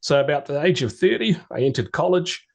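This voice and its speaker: A man's voice: a little high, expressive, fairly quick. Chatty middle-aged New man. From New Zealand. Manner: rambling storyteller with restarts and stammers. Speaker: podcast guest. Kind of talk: casual interview chat.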